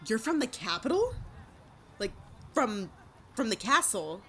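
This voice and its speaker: A woman's voice: a little high, very animated, a little slow, bright, forceful tone, slight background noise, full character voice. An actress playing a plainspoken, incredulous village woman in an audio drama.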